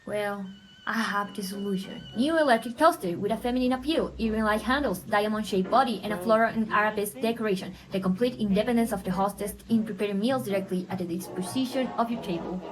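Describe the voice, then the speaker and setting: A woman playing an eager, agreeable co-host and pitchwoman in a scripted 1920s-style radio show. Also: fast talker, close to an everyday voice, low-quality recording. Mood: salesy.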